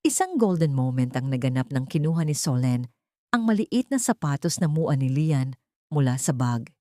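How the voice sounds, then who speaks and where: A woman's voice: rumbling low, big pitch swings, normal speed, mellow, no room sound, studio quality. A woman with a Filipino accent narrating a dramatic story as a scripted audiobook.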